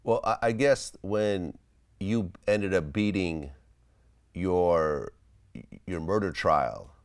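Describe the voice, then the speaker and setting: A man working as an interviewer, asking questions casually and piecing them together in a halting way. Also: sits low, clean tone.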